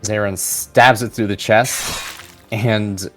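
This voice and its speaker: A man's voice: on the low side, steady tone, takes it slowly, a bit bright, faint music behind, low-quality recording. A man narrating a tabletop roleplaying game as game master, in a casual, plain-spoken way.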